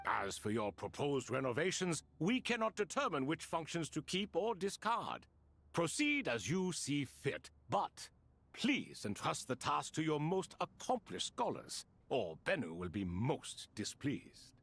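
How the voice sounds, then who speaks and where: A man's voice: on the high side, wildly swooping pitch, a little slow, vocal fry, a bit bright, full character voice. A man voicing a wry, haughty ancient spirit in a video game, theatrical and formal. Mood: wry, lofty.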